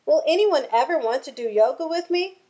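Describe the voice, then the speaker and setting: A woman voicing a friendly, hopeful little male rabbit in a storybook, gentle and encouraging. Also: high-pitched, expressive, brisk, pitched above the performer's own voice. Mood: wistful.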